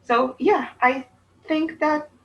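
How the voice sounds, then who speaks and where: A woman from Bulgaria, co-hosting a casual book chat, talking in an animated, rambling way that often breaks off and restarts. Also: high voice, slow, muffled recording. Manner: chatty, animated, self-interrupting. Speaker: book channel co-host.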